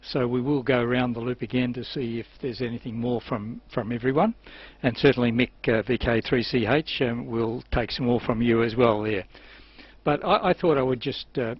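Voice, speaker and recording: An Australian man giving an unscripted technical demonstration, explaining things in a hesitant, matter-of-fact way. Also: medium pitch. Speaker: amateur television operator and presenter. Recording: dull lo-fi sound with clear hiss.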